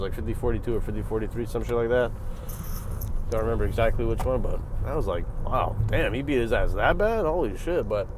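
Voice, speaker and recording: A man hosting a reaction video, giving casual, profane commentary on a fight, jumping from loud bursts to low mutters. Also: background noise.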